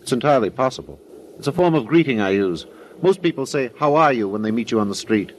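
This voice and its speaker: An actor as a formal, faintly menacing dream clerk. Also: male voice, medium pitch, expressive, fast talker, a little hiss or hum, lightly acted, poor audio. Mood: brisk, officious. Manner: formal, clipped, repetitive. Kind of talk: old-time radio drama acting. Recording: old radio broadcast with faint music.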